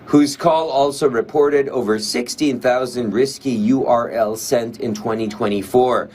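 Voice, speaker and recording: Male reporter, Filipino accent, average pitch, reading a news package with slight noise behind. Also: a little slow, darkish tone. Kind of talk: scripted news narration.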